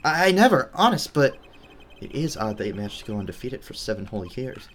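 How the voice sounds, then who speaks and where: Man playing a flustered young lawyer, animated pitch. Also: fairly quick, a little hiss or hum. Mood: hesitant. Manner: stammering, exclaiming. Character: nervous, earnest young male defense lawyer, easily flustered. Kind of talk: video game dialogue read aloud.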